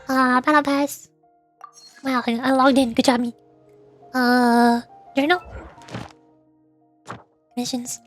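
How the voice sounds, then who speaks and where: A woman game streamer chatting to herself and reading on-screen text aloud as she plays. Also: sits high. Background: game music.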